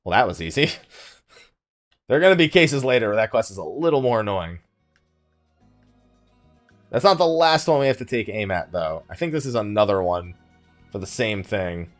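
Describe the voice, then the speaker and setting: Man with lively, swinging pitch, casual game commentary. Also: moderate pace, pauses often, faint music behind. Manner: thinking aloud, wry, conversational. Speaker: gaming YouTuber, host of the let's play. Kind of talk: casual let's play commentary.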